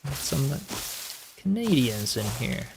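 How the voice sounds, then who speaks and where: A man's voice: mid-range voice, takes it slowly, gravelly, a little hiss or hum, low-quality recording. Laid-back male game streamer. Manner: relaxed, rambling, reads chat aloud, frequent likes and you-knows. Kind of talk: casual live-stream chat while building in a game.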